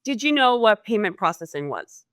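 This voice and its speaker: A woman's voice: mid-range voice, normal speed, dry recording, studio quality. A woman hosting a casual workplace interview, asking questions in a playful manner. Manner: lively, playful, laughs easily. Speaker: interviewer, host.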